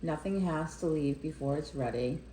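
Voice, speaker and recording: A woman leading a guided meditation in a gentle, unhurried way, speaking in short phrases with pauses between them. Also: low-pitched, reverberant, slight background noise, poor audio. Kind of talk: guided meditation, slow soothing narration.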